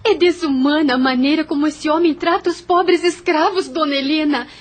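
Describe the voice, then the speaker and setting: A woman's voice: high voice, normal speed, a little hiss or hum, natural voice. An actress playing a compassionate, defiant young woman in an audio novel, with impassioned, dramatic delivery. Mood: indignant.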